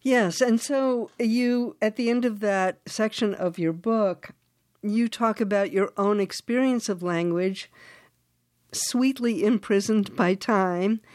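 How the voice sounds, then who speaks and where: An older woman interviewing an author, speaking slowly and searching for her words as she asks questions. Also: medium pitch, no echo, clean studio sound. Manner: slow, warm, searching for words.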